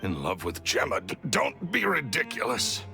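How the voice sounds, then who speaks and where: Bitter, low-voiced, theatrical villain. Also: male voice, wildly swooping pitch, moderate pace, creaky, over music, heavily acted. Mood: defensive, proud. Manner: theatrical, scornful, swinging between growl and outburst. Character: bitter, greedy male magician thief confessing to murder. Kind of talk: video game voice acting.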